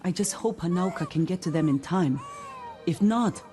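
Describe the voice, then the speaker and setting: An actor performs a concerned villager in a cinematic video game. The voice is soft-edged and dark, full of anxious care. Faint music plays behind. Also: medium pitch, animated, moderate pace, close to an everyday voice. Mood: worried. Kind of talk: video game cutscene acting.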